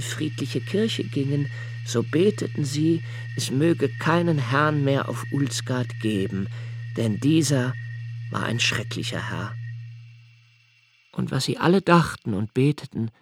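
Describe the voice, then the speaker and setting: Slow, brooding young man. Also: mid-range voice. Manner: measured, reflective, with deliberate pauses. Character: brooding, anxious 28-year-old man, writing his notebooks. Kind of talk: literary radio play monologue, first-person narration.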